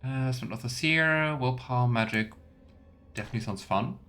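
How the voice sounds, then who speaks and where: A man's voice: medium pitch, fairly quick, sharp tone. A man giving casual let's play commentary, thinking aloud in loose fragments. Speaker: game streamer. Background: faint game music.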